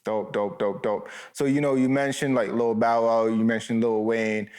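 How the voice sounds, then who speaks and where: Casting: young male host from Canada, relaxed, filler-heavy questions, mid pitch. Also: normal speed, smooth. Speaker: interview host. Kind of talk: casual interview questions.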